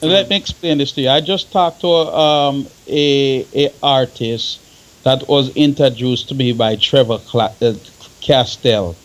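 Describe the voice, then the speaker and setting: A man's voice: mid-range voice, a little slow, low-quality recording. A man with a Jamaican accent explaining things emphatically in casual panel talk, repeating phrases for stress.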